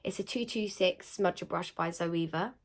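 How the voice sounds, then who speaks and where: A young woman with a croaky, slightly nasal voice after being ill, chatting casually through a makeup tutorial with lots of fillers. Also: on the low side, moderate pace. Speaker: beauty vlogger.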